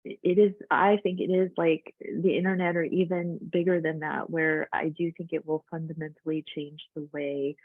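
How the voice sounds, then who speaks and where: A woman's voice: low-pitched, little pitch movement, moderate pace, few pauses, dry recording. Woman on thin phone-like audio. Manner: loose, chatty, run-on sentences. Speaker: life coach hosting a webinar. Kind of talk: casual webinar presentation.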